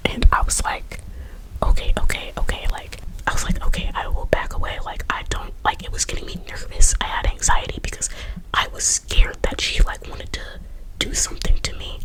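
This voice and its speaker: A woman's voice: mid-range voice, rough recording. A woman whispering a casual story-time in an ASMR style, chatty and full of filler words and restarts. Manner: whispering throughout.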